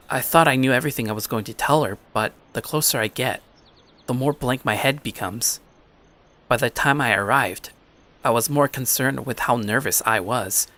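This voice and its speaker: A man playing an anxious, introspective high school boy who narrates his own story. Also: sharp tone. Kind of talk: first-person scripted story narration. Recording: music under the voice.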